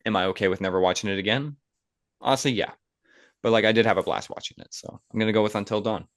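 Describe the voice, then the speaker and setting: A man's voice: lowish voice, fast talker, no room sound, clean studio sound. A man hosting a podcast, chatting casually about things in a conversational way. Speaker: podcast host. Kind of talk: casual movie chat.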